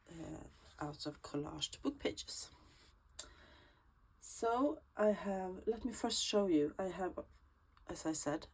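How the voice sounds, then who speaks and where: A woman from Sweden with a Swedish accent, chatting casually in a crafting vlog, unhurried and full of ums and restarts. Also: low voice, darkish tone, breathy edge. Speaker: junk journal creator.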